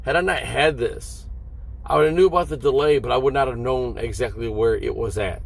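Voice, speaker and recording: A man, a long-haul trucker, talks casually and haltingly to his viewers from inside a parked truck cab, explaining things and checking in with short questions. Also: medium pitch, creaky. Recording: vehicle noise behind the voice.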